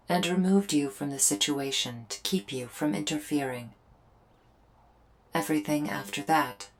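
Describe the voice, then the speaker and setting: A woman's voice: low voice, slight background noise, poor audio. A woman playing a solemn alien invader soldier in a roleplay audio drama, speaking in a stilted, formal, earnest way. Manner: stilted, formal, literal speech. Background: background music.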